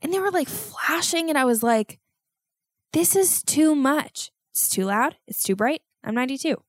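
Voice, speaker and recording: A young woman hosting a solo podcast, talking in a rambling, self-mocking way with loud outbursts, and sounding congested. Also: sits high, lively intonation, normal speed, no echo, studio quality. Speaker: podcast host.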